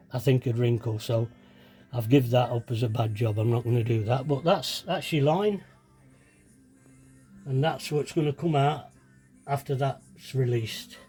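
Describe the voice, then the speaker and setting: Mellow-voiced man with a slight sniffle. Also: medium pitch, slightly rough. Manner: chatty, self-deprecating, thinking aloud while working. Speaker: DIY video maker demonstrating fibreglassing. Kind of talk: casual hands-on tutorial narration.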